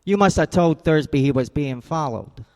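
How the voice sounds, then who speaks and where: Male actor as tough radio-drama detective, clipped and menacing, slightly raised pitch. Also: animated, normal speed, a little mellow, lightly acted. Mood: accusing. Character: hard-boiled male private eye, cold. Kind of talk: 1940s-style radio drama acting.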